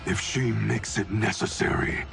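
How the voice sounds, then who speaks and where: A man playing a cold, menacing ninja grandmaster in a game cutscene, with a gravelly, imperious delivery. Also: fairly low pitch, fairly even intonation, over loud music. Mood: cold menace. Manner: imperious, deliberate. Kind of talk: dramatic video game cutscene acting. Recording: loud cinematic score under the voice.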